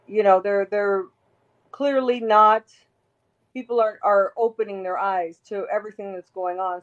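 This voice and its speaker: A woman with a raspy voice, talking emphatically as an interview guest, rambling and restarting her sentences. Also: medium pitch, muffled recording. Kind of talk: casual interview talk.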